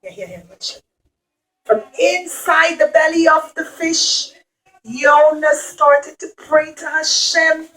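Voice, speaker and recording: A woman telling a Bible story to young children in an expressive, teacherly way, with simple repeated phrases and questions. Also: high-pitched, a little slow, rough recording.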